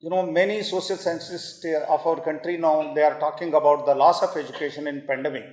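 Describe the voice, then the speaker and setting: A man with an Indian English accent, a native Kannada speaker, giving a public talk in an earnest, halting way with many fillers, building into emphatic lists. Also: a little high, steady tone, a little mellow, reverberant.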